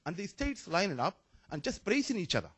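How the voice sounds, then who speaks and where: A man from Somalia making a spontaneous comment from the floor of a panel, halting and restarting, his emphasis rising as he goes, in a conference hall. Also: high-pitched, sing-song, husky, muffled recording. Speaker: audience member asking a question.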